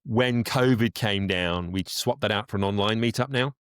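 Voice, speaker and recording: Low, dry-sounding man, effusive in conversation. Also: moderate pace, fairly bright tone, smooth, dry recording. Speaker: voice actor, interview guest. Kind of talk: casual interview chat.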